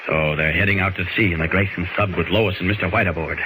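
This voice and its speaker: A man's voice: low-pitched, fairly even intonation, fast talker, natural voice. An American man playing a resolute superhero in a 1940s radio serial, thinking aloud in a clipped, declamatory way. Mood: determined. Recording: noisy old 1940s radio recording.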